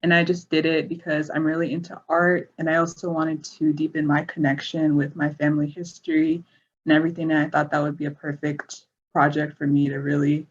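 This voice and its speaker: A young woman whose first language is Igbo speaks in a low, husky, level voice as a student on a panel. She keeps it brisk and straightforward, and the audio is dull and lo-fi. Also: level tone. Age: young adult. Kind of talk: casual panel chat on a video call.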